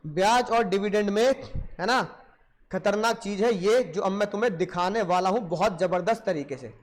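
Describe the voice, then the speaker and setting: High-pitched male commerce teacher with sore throat. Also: normal speed. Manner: repeats phrases for emphasis, addresses students directly. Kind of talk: animated classroom lecture in Hindi-English mix.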